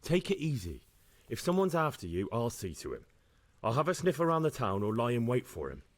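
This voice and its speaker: Bold, expressive young man-at-arms. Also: medium pitch, brisk. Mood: reassuring. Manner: direct, confident, no-nonsense. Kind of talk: dramatic video game acting.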